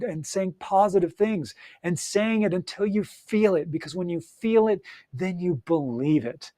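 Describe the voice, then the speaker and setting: A man's voice: high-pitched, a little mellow, dry recording, clean studio sound. A man giving an upbeat, encouraging solo talk as a coach, speaking straight to the listener with frequent fillers and restarts. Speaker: audiobook coach.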